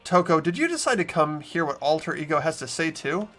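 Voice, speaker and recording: An earnest, hesitant teenage boy narrating his visual novel adventure, voiced by a man. His pitch moves in an animated, questioning way, with music behind him. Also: a little fast. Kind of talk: amateur voice acting and narration of a visual novel game.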